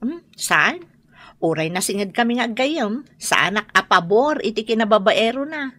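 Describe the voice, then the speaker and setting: Bright-voiced gossipy Ilocano young woman. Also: fairly high pitch, sing-song, unhurried, dry recording, full character voice. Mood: nosy, cheerful. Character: chatty, teasing young woman, gossipy friend. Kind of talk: radio drama acting.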